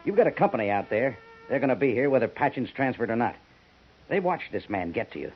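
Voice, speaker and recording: An actor playing a persistent commanding major with a husky voice in a 1950s radio drama. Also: male voice, mid-range voice, very animated, fast, faint music behind. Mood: probing. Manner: persistent, questioning. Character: probing fort major, firm commanding officer. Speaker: army major. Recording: muffled 1950s radio broadcast.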